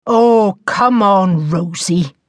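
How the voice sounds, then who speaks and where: Storybook role: stern, weary male teacher played by a woman, sarcastic, unhurried, close dry sound. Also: medium pitch, no room sound, lightly acted. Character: weary, exasperated middle-aged male history teacher.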